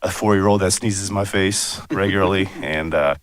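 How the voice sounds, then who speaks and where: Raspy guy with a cold, super low voice, talking to city council, dry sound, lots of um and you know. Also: fairly even intonation, normal speed, no room sound. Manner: conversational, many fillers and asides. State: hoarse from a cold. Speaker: bicycle and pedestrian planner presenting. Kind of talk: public meeting presentation. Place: city commission chamber.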